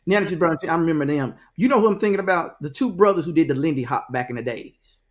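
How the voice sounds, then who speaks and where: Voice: mid-range voice, quick pace. An interview host asking loose, chatty, rambling questions.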